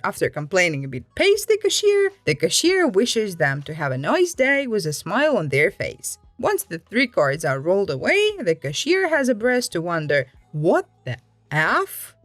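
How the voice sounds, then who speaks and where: Lively, expressive woman storyteller, close dry sound. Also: medium pitch, no echo. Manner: expressive, acts out quoted remarks. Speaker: story reader and commentator. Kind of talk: storytelling with reaction commentary.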